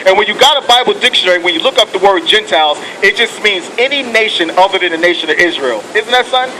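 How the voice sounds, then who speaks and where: Fiery street preacher, high voice, loud traffic. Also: male voice, animated, fast. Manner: fiery, profane, calls and responses with "okay" and "come on". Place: outdoors on a city street. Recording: loud street noise outdoors.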